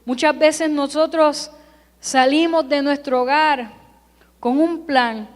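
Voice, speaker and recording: A woman's voice: high-pitched, steady tone, takes it slowly. A woman who grew up in California, preaching a Sunday sermon in a church with emphatic, repeated parallel phrases. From California, United States. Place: church sanctuary. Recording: long hall reverb.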